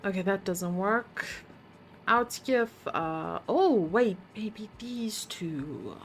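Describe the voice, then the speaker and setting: A woman's voice: medium pitch, animated, extremely slow, a little hiss or hum. A woman streamer casually commenting on her game, muttering to herself while solving puzzles and chatting with viewers.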